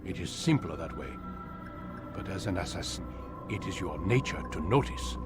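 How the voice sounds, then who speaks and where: A man voicing a commanding, evasive master of an assassin order in a video game, measured and lecturing. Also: low voice, animated. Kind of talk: video game cutscene dialogue. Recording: music behind the voice.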